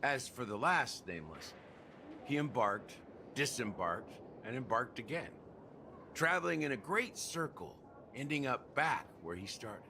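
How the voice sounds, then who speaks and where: An actor playing a world-weary, enigmatic middle-aged man in a video game, speaking in a measured, wry and reflective way. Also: mid-range voice, sing-song, sharp tone, a little hiss or hum, full character voice. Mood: solemn. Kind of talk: dramatic game dialogue.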